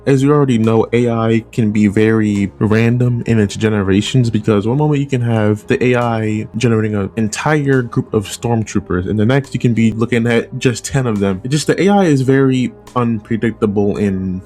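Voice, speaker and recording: A man's voice: lowish voice, normal speed, hardly any pauses, a little mellow. A man giving casual opinion commentary as a video essay host, conversational and often rephrasing his points. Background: background music.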